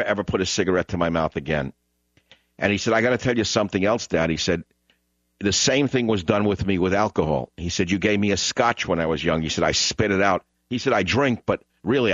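Combative low-voiced radio talk host. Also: male voice, fairly bright tone, no echo. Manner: combative, emphatic, repeats lines for effect. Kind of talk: opinionated call-in radio talk. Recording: dull radio broadcast sound.

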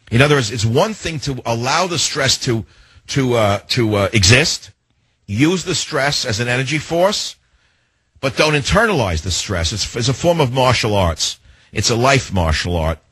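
A radio talk show host, a man, delivering an indignant monologue full of rhetorical questions, slowing down to hammer his points. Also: fairly low pitch, fairly bright tone, breathy edge, dry recording. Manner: slows down to hammer lists and key phrases.

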